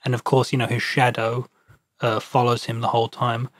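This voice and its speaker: A man's voice: mid-range voice, monotone, normal speed, dry recording. A man on a podcast panel, casually discussing a film.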